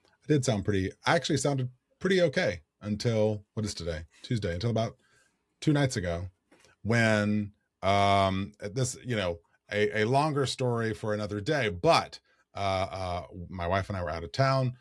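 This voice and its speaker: A man co-hosting a podcast, telling a story in casual chat, his voice a little raspy from singing. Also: lowish voice, normal speed, no room sound, studio quality. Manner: animated storyteller, jokey. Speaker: podcast co-host.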